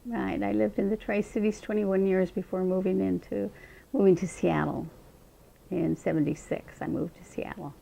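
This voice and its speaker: A woman's voice: medium pitch, steady tone, gravelly, mellow, rough recording. An older woman, a state representative, unhurriedly recalling her childhood in an oral history interview. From Montana, raised in Eastern Washington. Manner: reflective, unhurried storytelling with frequent restarts.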